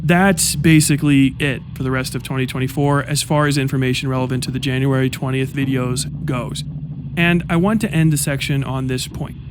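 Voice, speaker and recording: A man giving scripted commentary narration, walking through a timeline in a measured, explaining manner. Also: mid-range voice, fairly even intonation, noisy background.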